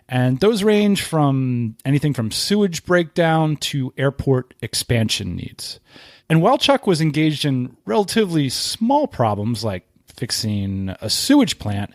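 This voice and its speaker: A man's voice: mid-range voice, dry recording, clean studio sound. A man hosting a podcast, introducing the show in a conversational way. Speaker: podcast host.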